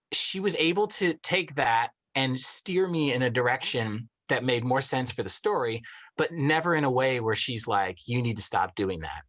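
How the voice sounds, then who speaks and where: A man's voice: medium pitch, a bit bright. A man who works as an actor, speaking in a casual, thoughtful and emphatic interview style.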